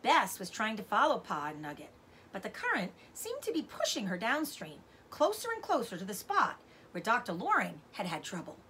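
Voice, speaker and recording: A middle-aged woman reading a children's novel aloud as a teacher does, with expressive narration. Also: medium pitch, moderate pace, a little hiss or hum. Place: indoors, room with bookshelves.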